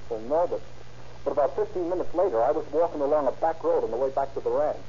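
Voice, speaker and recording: Actor as a fast-talking, stammering young ranch hand. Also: male voice, fairly high pitch, mellow, natural voice. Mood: nervous, eager. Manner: stammering, rustic, halting phrasing. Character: folksy, eager young male ranch hired man. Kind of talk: 1930s-40s radio drama acting. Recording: muffled, noisy old-time radio recording.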